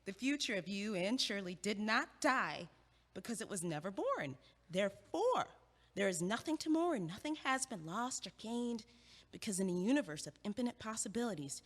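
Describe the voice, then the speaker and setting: An actress playing an impatient young female student who talks in a brisk, blunt, dryly logical way in an audio drama. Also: medium pitch, big-room sound.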